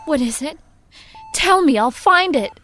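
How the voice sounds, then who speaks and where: A woman's voice: sits high, lively intonation, a little slow, a bit bright, faint music behind. A young woman playing an earnest, concerned, devoted granddaughter in an audio drama. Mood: tender, concerned. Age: young adult. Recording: clear background noise.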